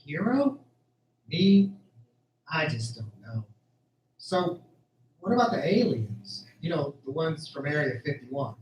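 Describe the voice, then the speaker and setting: Male actor for earnest, puzzled young farm lad, higher pitch, radio play with roomy echo. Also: lively intonation, slow, pauses often. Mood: bewildered, dazed. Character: bewildered, earnest young male farmhand hero. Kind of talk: amateur radio drama acting. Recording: echoey room, faint background noise.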